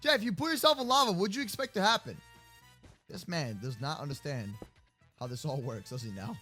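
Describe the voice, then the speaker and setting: A man improvising game roleplay commentary, animated and slangy, reacting aloud as he goes. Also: a little high, brisk, slightly rough, soft background music. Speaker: gaming video host.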